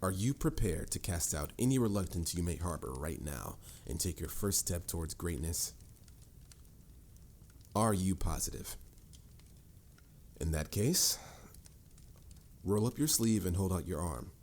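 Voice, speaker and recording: Man acting a deep, mellow, formal mage mentor. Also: expressive, a little hiss or hum. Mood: instructive. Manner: formal, measured, lecturing. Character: stern, dryly exasperated adult male mage mentor. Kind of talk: scripted fantasy audio roleplay addressed to the listener.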